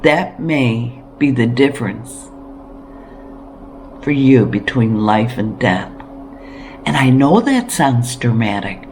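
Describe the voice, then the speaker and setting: A woman's voice: very deep voice, lively intonation, slow, long pauses, a little mellow, a little hiss or hum. A woman hosting a homesteading video, talking straight to viewers in an earnest, emphatic way with rhetorical questions. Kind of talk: direct-to-viewer preparedness talk.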